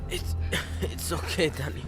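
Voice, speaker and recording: An actor playing a gentle, brave teenage boy, a sheltered ruler's son, in a cinematic video game, with a soft, hesitant manner. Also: a little slow, natural voice. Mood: weak, reassuring. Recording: loud music behind the voice.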